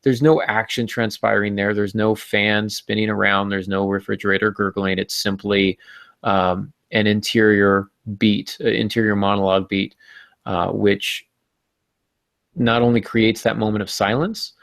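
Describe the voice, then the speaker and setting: Gravelly low-voiced man hosting a podcast. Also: flat delivery, unhurried, a bit bright, no room sound. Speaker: podcast host. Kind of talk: explanatory writing talk with casual banter.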